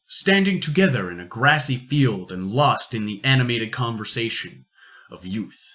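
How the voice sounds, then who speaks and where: A man's voice: mid-range voice, fairly bright tone. A man reading scripted story narration for an amateur radio play.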